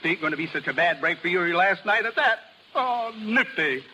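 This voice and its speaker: An eager American carnival helper, cheerful and excitable. The voice is extremely high and piercingly bright, with breezy folksy chatter. It is an old radio recording with faint noise. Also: male voice, a little fast, muffled recording, full character voice. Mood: eager, excited. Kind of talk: 1930s American radio drama acting.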